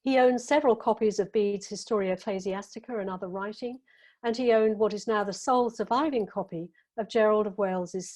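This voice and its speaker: A woman giving a conference paper, reading her prepared text in a measured, careful way with the odd filler. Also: mid-range voice, darkish tone. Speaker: academic conference speaker.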